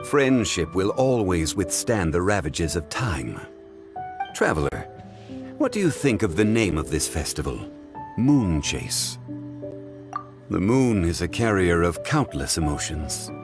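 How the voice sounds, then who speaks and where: A man playing a calm, refined, reflective gentleman in a video game cutscene, speaking with formal, poetic composure. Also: low voice, measured pace, music in the background, heavily acted.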